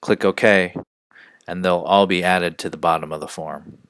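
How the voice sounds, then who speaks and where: A man narrating an instructional screencast as a software trainer, explaining each step in a measured way. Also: low-pitched, fairly even intonation, clean tone, no room sound.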